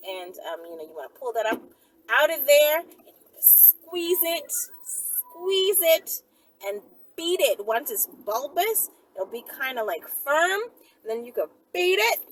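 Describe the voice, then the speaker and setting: A woman talking casually and candidly to viewers as a question and answer host, with playful, drawn-out emphasis on words. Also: high-pitched, sing-song. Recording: distant mic with loud traffic and vehicle noise. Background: traffic noise through an open window.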